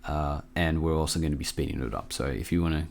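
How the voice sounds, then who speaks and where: A man hosting a casual software tutorial, informal and a bit hesitant as he thinks aloud. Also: very deep voice, fast talker, vocal fry, a little hiss or hum. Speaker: tutorial host.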